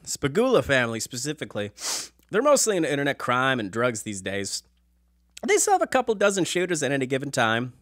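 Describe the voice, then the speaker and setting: A man's voice: mid-range voice, huge pitch swings, fairly quick, fairly bright tone, no echo, clean studio sound. A man playing a serious informant giving a matter-of-fact briefing in dramatic scripted dialogue. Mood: grave, matter-of-fact.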